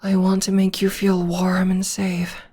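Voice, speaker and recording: A woman voicing a breathy, soft-spoken witch girlfriend. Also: medium pitch, monotone, measured pace. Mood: tender, soothing. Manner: soft, soothing, often whispering. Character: gentle devoted young witch girlfriend. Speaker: voice actor. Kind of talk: ASMR comfort roleplay.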